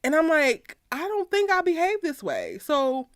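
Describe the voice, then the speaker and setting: A woman's voice: high-pitched, huge pitch swings, unhurried, fairly bright tone, no echo, clean studio sound. A woman hosting a casual discussion podcast, talking in a chatty way and speaking directly to her viewers. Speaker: podcast host.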